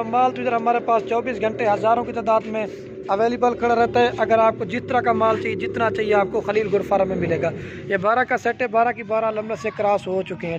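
A man's voice: high voice, noisy background. A man with a Pakistani Urdu accent gives a casual sales walkthrough of his goat farm, repeating himself and often checking "theek hai". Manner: repetitive, salesy, keeps saying "theek hai". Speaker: goat farm seller showing animals. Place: outdoor farmyard beside a garden. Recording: slightly muffled phone audio, faint outdoor ambience.

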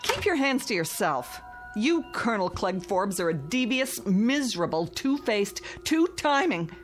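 An actress playing an indignant, sharp-tongued young woman scolding her boyfriend in a radio drama. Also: mid-range voice, big pitch swings, unhurried, soft background music. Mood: scornful.

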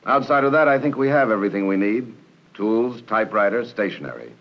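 A man acts out a methodical counterintelligence agent in a vintage military training film. His low voice rises and falls expressively while staying formal and polite. The old soundtrack is muffled. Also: lively intonation, lightly acted. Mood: courteous, assured. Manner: measured, formal delivery. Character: courteous, methodical male army counterintelligence agent. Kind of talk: 1960s training film acting. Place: small office and meeting room, indoors.

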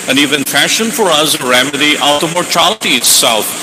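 Solemn priest intoning in a big church. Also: male voice, a little high, animated, brisk. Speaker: priest celebrating mass. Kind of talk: liturgical prayer. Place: large church sanctuary. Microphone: stand mic at altar. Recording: long church reverb with background noise.

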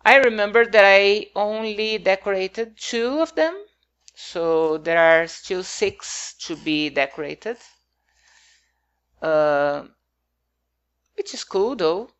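A woman's voice: mid-range voice, slow, long pauses, a bit bright, smooth, muffled recording. A woman whose first language is not English, talking casually over her game build in a hesitant, rambling way with many fillers.

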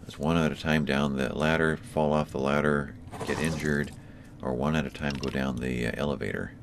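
A man's voice: very deep voice, fairly even intonation, normal speed, a little hiss or hum. A man hosting a let's play, casually coaching his partner through tactics. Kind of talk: casual gameplay commentary. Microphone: desktop USB mic.

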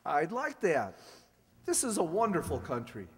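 A man's voice: fairly high pitch, huge pitch swings, a little slow, exaggerated voice. A man playing an earnest, grateful frontier doctor in an amateur radio western. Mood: earnest, wondering. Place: on stage in a community hall. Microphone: stand microphone. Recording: echoey community hall, loud music behind.

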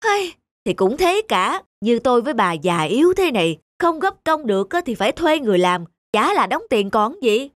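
A man's voice: very high voice, sing-song, normal speed, fairly bright tone, no echo, full character voice. An actor voicing a stingy, hot-tempered old village man in a cartoon, grumbling and indignant. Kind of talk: animated moral tale voice acting. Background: background music.

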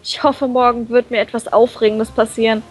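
High-voiced woman reading a visual novel. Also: flat delivery, fairly quick. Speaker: reader in a visual novel let's play. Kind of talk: expressive script reading with casual banter. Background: background game music.

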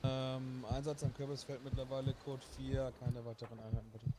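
Man as police officer, medium pitch, polite firm instructions, online game roleplay, some background noise. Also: little pitch movement, brisk, lightly acted. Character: male police officer directing people, polite but firm. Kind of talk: improvised online roleplay in German. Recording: noisy gaming voice chat.

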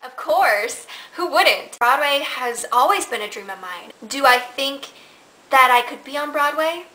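A woman's voice: high-pitched, measured pace, poor audio. A woman in her twenties telling stories in a casual question-and-answer vlog, talking from her living room to a camera in front of her. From Nebraska. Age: 20s. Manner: chatty and animated, gestures with hands while telling stories. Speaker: vlogger. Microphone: camera in front at desk distance.

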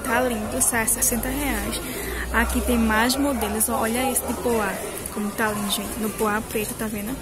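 A woman's voice: fairly high pitch, steady tone, normal speed. A woman filming a walking price tour of clothes in a busy indoor market, talking to her viewers in a chatty, upbeat way. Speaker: shopping vlogger. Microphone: handheld phone camera. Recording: loud market crowd and music. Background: loud music and crowd chatter.

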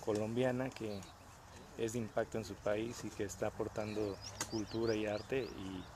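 A man's voice: fairly low pitch, level tone. A man hosting casual interviews outdoors, upbeat and encouraging. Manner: upbeat, encouraging, quick affirmations. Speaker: host and interviewer. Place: outdoor park. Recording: crowd chatter behind.

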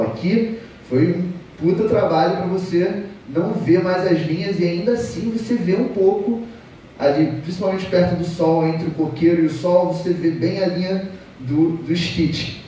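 A man's voice: fairly high pitch, moderate pace, warm tone. Brazilian man speaking in a very echoey hall. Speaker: conference speaker. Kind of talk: informal technical talk. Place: auditorium stage, seated at a table. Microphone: distant camera in the audience. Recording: echoey hall, distant mic, background noise.

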